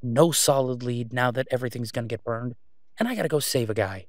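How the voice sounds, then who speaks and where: A man acts out a brusque, sarcastic vigilante antihero for an audio drama. His mid-pitched delivery is weary and clipped, turning emphatic when irritated. The sound is dry and close, with no room around it. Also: a little fast, no echo, lightly acted. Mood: frustrated. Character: exasperated sardonic male vigilante antihero, brusque but protective. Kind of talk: solo audio roleplay acting, conversational.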